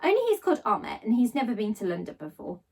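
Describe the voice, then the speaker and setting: A woman's voice: fairly high pitch, big pitch swings, fast talker, a little mellow, husky, recorded from a distance, heavily acted. A woman voicing an earnest, curious young schoolchild in a storybook, with an innocent manner.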